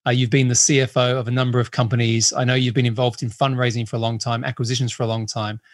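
A man's voice: mid-range voice, little pitch movement, quick pace, no room sound, clean studio sound. A man hosting a business interview with a hybrid Australian accent mixed with English and American, chatty and enthusiastic. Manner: enthusiastic, chatty, laughs easily. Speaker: podcast host.

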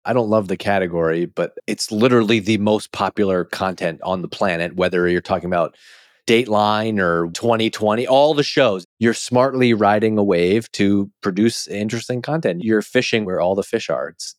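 A man's voice: lowish voice, normal speed, no room sound, studio quality. An American man hosting a podcast in a casual interview style, informal and chummy with loose phrasing. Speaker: podcast host.

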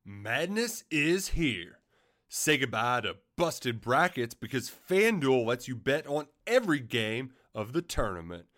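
A man's voice: medium pitch, big pitch swings, unhurried, a bit bright. A man reading a scripted promotional advertisement as an announcer.

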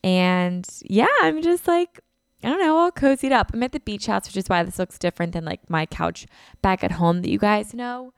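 A woman's voice: medium pitch, expressive, moderate pace, clear, resonant tone, no echo, studio quality. A woman in her twenties hosting a casual solo podcast, congested and talking in a chatty way full of "like" and "um" as she records at home on a podcast mic. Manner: chatty, lots of "like" and "um". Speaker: podcast host. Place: beach house living room, indoors.

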